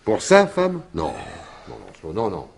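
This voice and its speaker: A man's voice: on the low side, wildly swooping pitch, a little slow, slightly rough, heavily acted. An actor playing a shrewd, wry and insistent police commissioner in a French detective radio drama, musing aloud and pressing his points. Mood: musing, probing.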